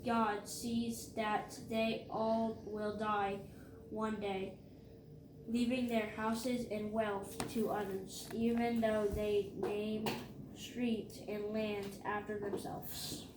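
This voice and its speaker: A female reader going haltingly through scripture aloud, stumbling over words. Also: mid-range voice, little pitch movement. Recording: echoey room with background noise.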